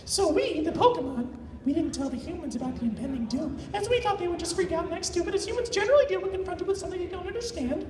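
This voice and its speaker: Woman playing very high-voiced Mew, echoey room. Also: lively intonation, quick pace, a little mellow, far from the mic. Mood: solemn explaining. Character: solemn female Mew, small creature explaining planetary doom. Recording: echoey convention room with crowd, off-mic.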